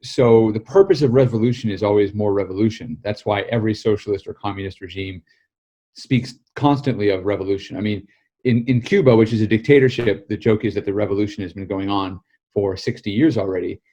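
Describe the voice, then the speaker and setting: A man with an American accent, born in South Africa, answering interview questions in a measured, explanatory way. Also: lowish voice, mellow. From South Africa, raised in the United States. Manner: measured, explanatory, building long arguments. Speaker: guest, editor and author. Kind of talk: political podcast interview.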